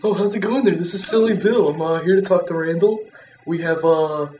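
A prank caller talking in a silly, halting way on a crude phone call. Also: mid-range voice, dark tone. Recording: echoey distant phone prank recording, music behind.

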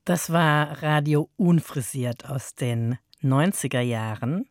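A woman hosting a radio talk, moderating conversationally and putting deliberate weight on key words. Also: deep voice, a little mellow, no room sound, studio quality, faint music behind. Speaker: radio host. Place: dry radio studio.

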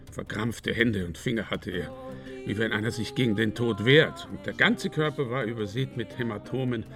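An actor in a German radio crime drama playing a sober, factual, grave investigator. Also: male voice, fairly low pitch, natural voice. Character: sober investigator doubting an overdose death. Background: music.

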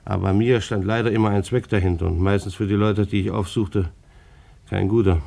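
A defensive German civil servant, a bailiff in a radio drama. His low voice has a creaky grain, and he speaks haltingly, bristling with wounded duty. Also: steady tone, dark tone. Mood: earnest recounting. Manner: halting, easily affronted. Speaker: bailiff being questioned. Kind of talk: German radio play acting.

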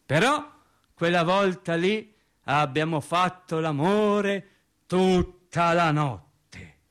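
A plainspoken factory worker and husband with a high-pitched voice. He tells his tale slowly in a colloquial radio play monologue, animated and comically exasperated, circling back over his words. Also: clear, resonant tone, exaggerated voice. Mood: rueful. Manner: animated, hesitant repetitions, comic indignation.

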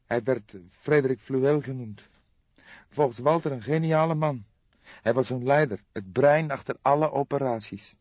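A man voicing a distraught, helpless young woman in a tearful, halting way for an audiobook. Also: mid-range voice, darkish tone, no echo, lo-fi sound, voice pushed up, close to an everyday voice. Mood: subdued, sad. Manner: wailing, halting, tearful delivery. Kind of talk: audiobook character voice.

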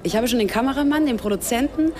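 An actress playing a brash, tactless German journalist of about thirty in a radio drama. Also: female voice, fairly high pitch, fast talker, a little mellow, lightly acted. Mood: breezy pitching. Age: 30s.

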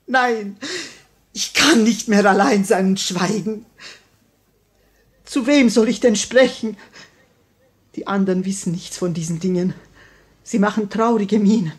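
Husky, slow, grieving grand duchess. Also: female voice, medium pitch. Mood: anguished. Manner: solemn, intense, mournful. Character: grief-stricken widowed grand duchess, proud and desperate. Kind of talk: 1950 German radio play acting.

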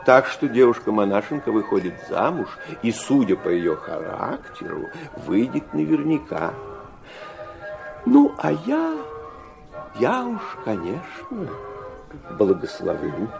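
An actor playing a worldly middle-aged gentleman father in a radio drama, speaking with mocking, theatrical irony. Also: mid-range voice, wildly swooping pitch, takes it slowly, gravelly, music in the background, full character voice. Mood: worldly, condescending. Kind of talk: Russian radio drama acting.

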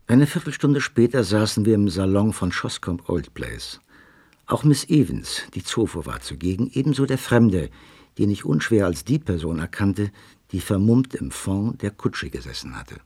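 Sober doctor narrator, dark husky voice, expressive intonation, scripted detective radio play. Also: male voice, fairly low pitch, normal speed, full character voice. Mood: sober narration. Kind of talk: scripted first-person narration.

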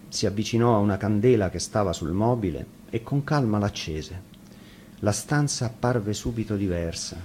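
A man with a fairly low voice tells a fairy tale from a script. He reads as a storyteller would on the radio. There is slight noise under the recording. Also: darkish tone. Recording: faint noise, live radio broadcast.

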